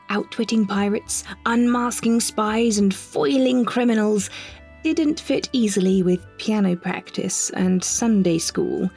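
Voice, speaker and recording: A woman performing an audio drama monologue as an older woman wryly recalling her childhood, in a measured, precise, slightly husky voice with deliberate pauses. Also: mid-range voice, darkish tone, exaggerated voice. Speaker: monologue narrator. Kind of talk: scripted first-person audio drama narration.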